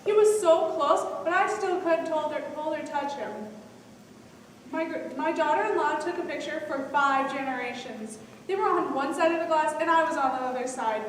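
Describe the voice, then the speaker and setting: A woman's voice: high-pitched, moderate pace, natural voice. A woman on stage playing a lonely, heartbroken elderly great-grandmother in a care home. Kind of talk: stage monologue in a one-act play. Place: on stage in a large hall. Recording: echoey hall from far off, faint noise.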